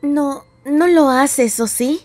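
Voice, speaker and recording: A woman's voice: high-pitched, slow, darkish tone, no echo, faint music behind. A woman voicing an anxious young cartoon jester, earnest, weary and hesitant. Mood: weary, accusing. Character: anxious young female jester trapped in a digital circus. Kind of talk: dramatic cartoon fan dub acting.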